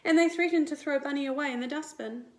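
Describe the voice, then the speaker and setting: A woman, a teacher reading a picture book aloud to young children in an expressive, deliberate way. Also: high voice. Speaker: primary school teacher. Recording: roomy indoor echo.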